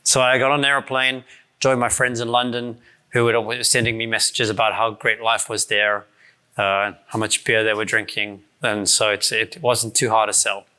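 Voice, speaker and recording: A man in his forties from South Africa, with a South African accent softened by years abroad, giving a casual conference talk with many fillers and restarts. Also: lowish voice, little pitch movement, moderate pace, clean tone. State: voice strained from shouting the night before. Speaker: conference speaker. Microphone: handheld or stand mic.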